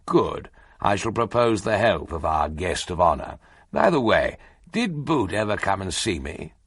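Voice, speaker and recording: A man voicing a pompous, commanding elderly press baron who speaks in a booming, imperious way. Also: big pitch swings, measured pace, fairly bright tone, husky, dry recording, clean studio sound, heavily acted. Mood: grandly pleased.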